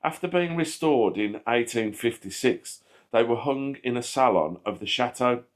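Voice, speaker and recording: A man's voice: mid-range voice, animated, normal speed. A man narrating scripted history as a channel host, reading aloud.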